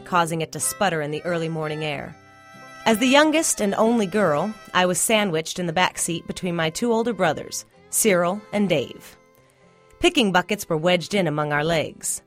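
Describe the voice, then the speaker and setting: A woman reading a first-person literary short story aloud as scripted narration. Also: on the low side. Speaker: story reader.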